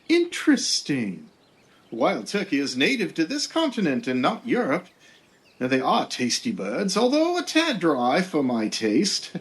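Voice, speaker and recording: An actor playing a blunt, deadpan male Pilgrim governor in a comedy sketch. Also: high voice, vocal fry, full character voice. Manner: matter-of-fact, darkly deadpan.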